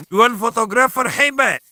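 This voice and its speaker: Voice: medium pitch, pushed and bright, no room sound, clean studio sound. A performer voicing a brash little caterpillar troublemaker in a children's cartoon dub, boisterous and taunting.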